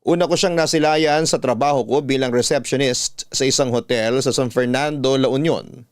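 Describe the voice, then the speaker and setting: A man narrates a scripted love story as a radio storyteller. His voice sits at a medium pitch, recorded dry with no room sound. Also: normal speed, no echo. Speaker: radio storyteller reading listeners' letters.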